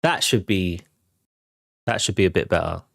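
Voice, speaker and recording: A man's voice: sits low, normal speed, creaky, no room sound, clean studio sound. A man hosting a film and TV news show, chatting to viewers in an informal, enthusiastic, conversational way. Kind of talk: casual entertainment news commentary to viewers.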